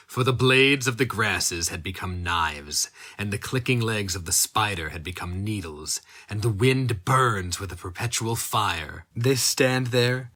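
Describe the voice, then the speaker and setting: A man giving a grave, dramatic audiobook reading of a dark fantasy story. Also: low-pitched. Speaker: narrator.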